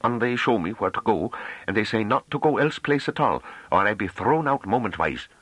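A man's voice: lowish voice, animated, normal speed, a bit bright, husky, no echo, pitched above the performer's own voice, rough recording. A man voicing a young unschooled farm woman who speaks in broken dialect, bashful but fierce. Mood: earnest. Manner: broken dialect speech, earnest and pleading.

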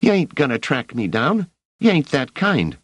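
A man's voice: medium pitch, big pitch swings, normal speed, dry recording, pitched above the performer's own voice. A cocky slangy street boy. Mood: cheeky. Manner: slangy, wheedling. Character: cocky street-smart young boy guide.

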